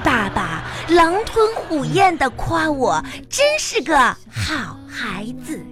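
A woman's voice: very animated, slow pace, full character voice. A woman playing a cheeky, whiny little schoolgirl with innocent earnestness in a radio comedy sketch. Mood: innocent, earnest. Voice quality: high childish voice. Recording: music behind the voice.

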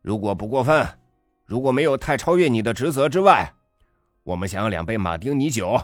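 A man reading a story aloud, voicing a brash, loud middle-aged father who bullies waiters with sarcasm. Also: deep voice, animated, moderate pace, no room sound, clean studio sound, faint music behind. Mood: mocking.

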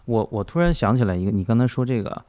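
A man's voice: fairly low pitch, animated, quick pace, dark tone. A man hosting a conversational interview, thinking aloud as he probes with questions and comparisons. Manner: musing, probing, thinking aloud. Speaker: interviewer, host.

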